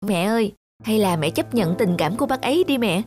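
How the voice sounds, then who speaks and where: A caring grown-up daughter in a cartoon family drama, played by a woman, at a medium pitch. She talks with earnest, heartfelt emotion while music plays behind her. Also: lively intonation, a little fast, darkish tone, close to an everyday voice. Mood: coaxing. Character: caring, earnest adult daughter, guilty and pleading.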